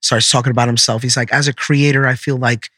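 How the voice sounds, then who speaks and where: A man's voice: medium pitch, level tone, quick pace, bright, forceful tone, no echo, clean studio sound. A man in his thirties, a chef telling earnest, proud stories in a casual interview. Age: 30s. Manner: earnest storyteller, proud, repeats words.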